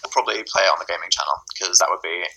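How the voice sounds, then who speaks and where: A man's voice: sits low, very fast, bright, forceful tone, poor audio. A man, joking around with dry, sardonic banter as co-host of a casual live stream. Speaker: livestream co-host.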